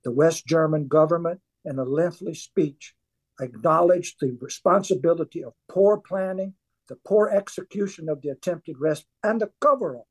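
A man's voice: a little high, slightly rough, rough recording. An older man from South Louisiana with a Cajun accent, telling his story earnestly and emphatically as a guest in a radio interview. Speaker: author and former weightlifter, guest.

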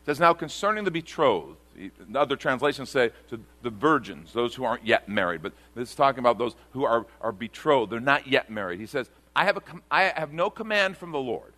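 A man's voice: mid-range voice, lively intonation, brisk, few pauses, pushed and bright. A man pastor preaching a sermon to a congregation, earnest and repeating key phrases for emphasis.

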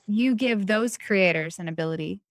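A woman interviewing someone in a casual, conversational style, speaking informally and with animated emphasis. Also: medium pitch, normal speed, no room sound, clean studio sound.

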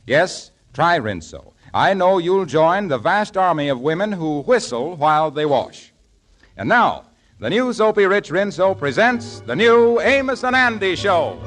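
A man's voice: sits high, sing-song, measured pace, fairly bright tone, faint music behind. A man announcing a 1940s radio show and its sponsor with an emphatic, polished sales delivery. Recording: old 1940s radio broadcast recording.

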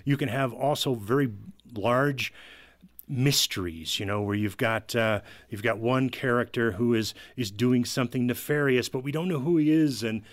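A man of medium pitch talks as a guest in a panel conversation. He explains informally, in everyday conversational speech. The sound is dry and free of room echo. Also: normal speed. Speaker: guest from an audio drama team. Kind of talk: casual panel discussion.

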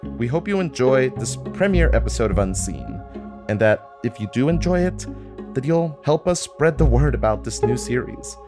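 Enthusiastic male podcast host over guitar music. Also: medium pitch. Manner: enthusiastic, addressing listeners directly. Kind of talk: scripted promotional announcement.

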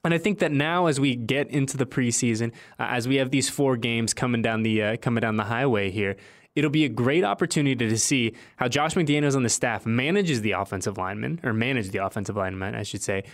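A man with a mid-pitched voice hosts a sports podcast. His delivery is conversational and upbeat. He leans on key words and likes to restate a phrase for emphasis. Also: a little fast.

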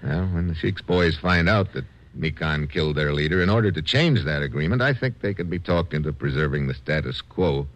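An actor playing a tough, unflappable American adventurer in a 1950s radio drama, with a wisecracking, hardboiled delivery. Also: male voice, very deep voice, animated, a little fast, hardly any pauses. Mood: relaxed, wry. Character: tough, wry, unflappable American adventurer hero narrating his exploits. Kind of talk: 1950s radio drama acting and first-person narration.

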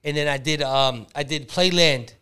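A man, chatting loudly and profanely as a podcast guest, laughing often. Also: a little high, moderate pace, smooth. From the Bronx, New York. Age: 20s. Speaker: guest rapper. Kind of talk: casual podcast chat.

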